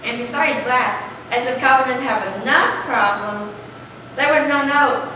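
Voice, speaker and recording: Young female story narrator, school stage reading, raised pitch, noisy distant sound. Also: a little slow. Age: young adult or younger, school pupil. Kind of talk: scripted story narration, readers' theater. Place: large echoey room. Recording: echoey noisy hall, distant mic.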